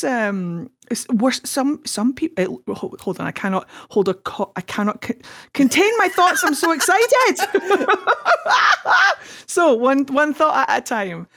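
A man co-hosting a fan podcast in casual chat, excitable and tripping over his words, with a slightly scratchy voice. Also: high-pitched. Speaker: podcast co-host.

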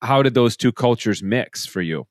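A man's voice: mid-range voice, lively intonation, dry recording, studio quality. Curious male podcast host. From family from southwestern Minnesota. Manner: curious, eager, quick to react. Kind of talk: conversational interviewing.

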